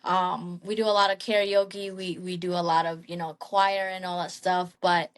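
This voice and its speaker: Soft-spoken young woman from the Philippines. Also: fairly low pitch, little pitch movement, moderate pace. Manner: soft-spoken, frequent ums and restarts, light laughs. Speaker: guest singer. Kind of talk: casual interview chat.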